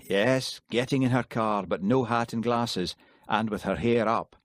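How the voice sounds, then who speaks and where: A man playing a brisk, bossy police detective with a blunt, dismissive manner. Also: fairly low pitch, no room sound, studio quality, lightly acted.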